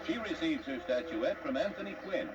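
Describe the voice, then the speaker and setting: A man's voice: a little high, animated, a little fast, heavy background noise. An American man reading scripted 1950s newsreel narration in a dramatic, declamatory announcer style. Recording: muffled distant 1950s newsreel soundtrack, loud music. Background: loud music.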